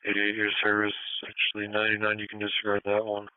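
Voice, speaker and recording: A man's voice: lowish voice, completely flat delivery, fast talker, a little hiss or hum. A man working as an ambulance dispatcher, giving matter-of-fact, procedural instructions over a two-way radio. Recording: thin narrowband scanner radio.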